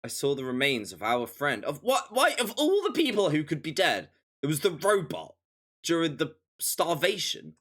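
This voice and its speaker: A man's voice: fairly high pitch, big pitch swings, normal speed, fairly bright tone. A man live-streaming a visual novel, reading dialogue aloud dramatically and breaking in with incredulous wisecracks. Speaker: game streamer. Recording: game music behind voice. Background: game music.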